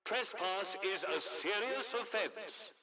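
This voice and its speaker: A man playing an authoritarian military announcer in a video game, formal, clipped and politely menacing over a village loudspeaker. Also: high-pitched, expressive, slow pace, crowd noise. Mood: stern, official. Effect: village loudspeaker, radio-like PA. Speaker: public address announcer. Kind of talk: scripted loudspeaker propaganda announcements.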